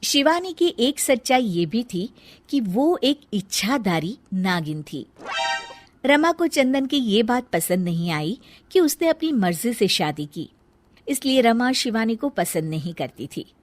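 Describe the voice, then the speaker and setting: A woman's voice: a little high, sing-song, unhurried, soft background music. A woman narrating a scripted children's story as a storyteller.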